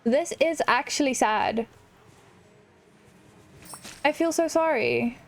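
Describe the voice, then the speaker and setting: A woman's voice: high-pitched, takes it slowly. A woman hosting a gaming channel, commenting casually on play in a reflective, empathetic way. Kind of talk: casual game commentary, reading dialogue aloud.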